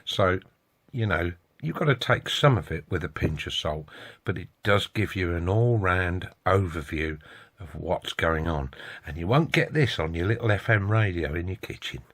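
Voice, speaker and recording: A man's voice: deep voice, animated, moderate pace, fairly bright tone, slightly rough. A British man giving a casual, opinionated monologue as a hobbyist vlogger.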